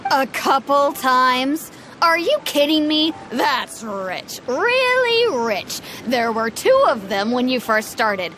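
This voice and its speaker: A woman's voice: sits high, very animated, takes it slowly, fairly bright tone, a little hiss or hum, exaggerated voice. A woman voicing an indignant village farmer woman who talks in an exasperated, sarcastic way. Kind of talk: game voice acting. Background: game music.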